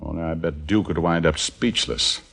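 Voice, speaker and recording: A man's voice: rumbling low, normal speed, gravelly, fairly bright tone, slightly rough, heavily acted. An American man playing a wry, wisecracking private detective in an old-time radio drama, with dry, sardonic banter and first-person narration. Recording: old radio broadcast recording.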